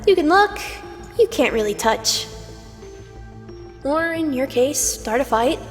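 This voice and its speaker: High-voiced condescending mystical mentor played by a woman. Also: lively intonation, slow pace. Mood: lecturing. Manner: lecturing, sardonic, theatrical. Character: stern, teasing older aspect of Twilight, condescending mentor. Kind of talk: scripted fan comic dub acting. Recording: long reverb over music.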